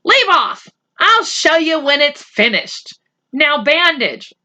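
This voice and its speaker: A woman's voice: high voice, very animated, takes it slowly, bright, forceful tone, pitched above the performer's own voice, heavily acted. Woman voicing a defensive, proud schoolboy. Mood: annoyed, defensive. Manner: indignant, insistent. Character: defensive, proud schoolboy writer standing up to his brother.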